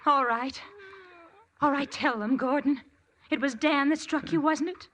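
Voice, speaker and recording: A distraught wife in a 1940s radio melodrama. Her voice is high and piercing, full of dramatic accusation, on a slightly noisy old recording. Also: unhurried, a bit bright. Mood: bitter accusing. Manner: dramatic, emphatic.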